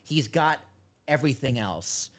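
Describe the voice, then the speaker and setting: Male podcast co-host, casual and rambling, eager fan energy, mid-pitched voice. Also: animated, measured pace. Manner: loose, rambling, enthusiastic fan talk. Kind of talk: casual chat.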